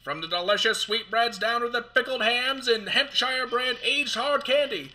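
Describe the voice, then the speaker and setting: A man playing an excitable, melodramatic announcer in 1950s radio drama style. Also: very high-pitched, normal speed, exaggerated voice. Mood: melodramatic, urgent.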